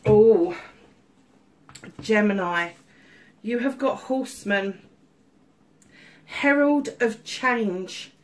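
A woman's voice: mid-range voice, animated, extremely slow, long pauses. A middle-aged woman giving a casual tarot reading at home, a little stuffy-nosed, chatty with many ums. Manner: chatty, warm-hearted asides with many ums. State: slightly stuffy-nosed from illness. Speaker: tarot reader. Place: home room at a table of crystals.

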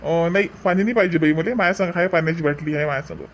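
A man's voice: on the high side, very fast, slight background noise, natural voice. A man playing a chatty village umbrella seller in a comic cartoon, with folksy sales patter and a Varhadi Marathi accent. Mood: persuasive, friendly. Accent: Varhadi Marathi from Vidarbha.

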